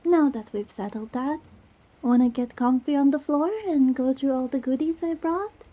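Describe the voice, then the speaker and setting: A woman playing a goofy, affectionate young girlfriend in an ASMR roleplay, talking tenderly and playfully to comfort a scared partner in a soft, breathy voice. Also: sits high, moderate pace, lo-fi sound, close to an everyday voice. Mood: cozy, caring. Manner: playful, rambling, tender, reassuring. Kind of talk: ASMR girlfriend roleplay, intimate one-sided dialogue.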